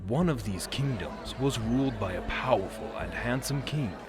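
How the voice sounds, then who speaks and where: Man playing a lively Viking prince storyteller over music. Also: mid-range voice, close to an everyday voice. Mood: dramatic storytelling. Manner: theatrical storytelling with dramatic pauses. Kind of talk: scripted fairy-tale storytelling. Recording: music behind the voice.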